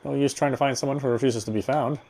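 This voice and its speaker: A man's voice: medium pitch, steady tone, fast talker, a little mellow. A man reading visual novel narration aloud, expressively, with occasional stumbles over words. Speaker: game streamer. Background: game music.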